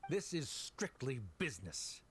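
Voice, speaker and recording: Pompous official with swooping intonation. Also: male voice, medium pitch, very animated, measured pace, fairly bright tone, a little hiss or hum. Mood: cold. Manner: condescending, formal, pompous. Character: haughty, cold middle-aged male official, a stern father. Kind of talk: video game voice acting.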